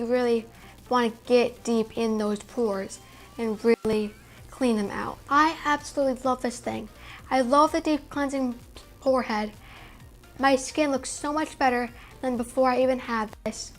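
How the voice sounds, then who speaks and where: A young woman casually and enthusiastically reviewing her favorite skincare and makeup products at home. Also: on the high side. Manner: enthusiastic, gushing about products. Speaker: beauty vlogger, daughter. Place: indoor home room with string lights.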